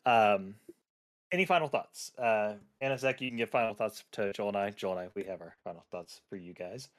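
A man's voice: fairly low pitch, a little fast. A young man hosting a podcast who chats casually, rambling through stories and laughing easily. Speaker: podcast host.

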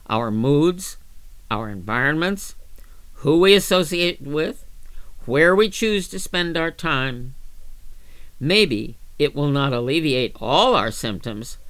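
Woman reading a magazine piece, slow n careful, really lively up-and-down pitch, dry close sound. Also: no echo. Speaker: volunteer-style reader of magazine articles. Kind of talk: read-aloud magazine article narration.

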